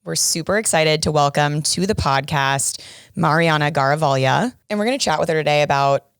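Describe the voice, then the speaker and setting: A woman's voice: low-pitched, fairly quick, clear, resonant tone, no echo, clean studio sound. A woman co-hosting a podcast, upbeat and enthusiastic in casual chat. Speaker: podcast co-host.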